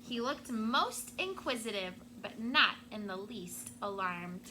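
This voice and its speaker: A fairly high-voiced woman teacher reads a storybook aloud to her class, expressive and lively in the telling, pausing to explain words along the way. The recording carries steady classroom noise behind her. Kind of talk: reading a picture book aloud to a class.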